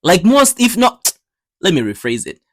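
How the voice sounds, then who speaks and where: A man's voice: sits high, huge pitch swings, moderate pace. A young man from Tanzania, narrating casually in a slangy, joking, conversational way. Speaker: YouTube storyteller. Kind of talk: casual scripted narration for animated video. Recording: background music under the voice. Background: music.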